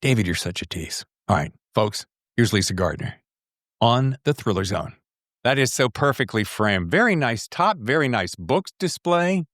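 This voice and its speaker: A man's voice: mid-range voice, very animated, normal speed, fairly bright tone, no echo, studio quality. A middle-aged or older man hosting a podcast in a casual, playful and rambling way, with self-teasing asides. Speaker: podcast host.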